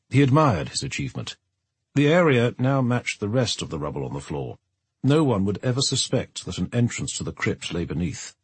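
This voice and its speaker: A man reading scripted third-person narration from a novel as an expressive audiobook narrator. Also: on the low side, husky, dry recording.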